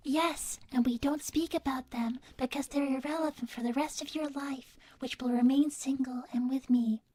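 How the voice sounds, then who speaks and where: A woman playing an earnest, devoted young artificial-intelligence daughter, soft and breathy, speaking politely and precisely in livestream banter. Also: high voice, steady tone, moderate pace. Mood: coolly possessive. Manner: polite, precise, matter-of-fact. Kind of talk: in-character improvised livestream banter.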